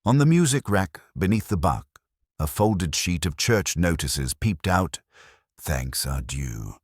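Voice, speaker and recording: A man with an extremely low, somewhat husky voice narrates a literary mystery. His delivery is measured and wry, with expressive rises and falls in a dry, close sound. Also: no room sound.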